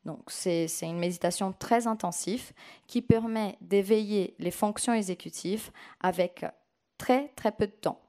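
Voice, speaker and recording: Mellow-voiced woman answering at a conference. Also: medium pitch. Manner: measured, explanatory. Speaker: conference speaker answering questions. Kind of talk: academic question-and-answer.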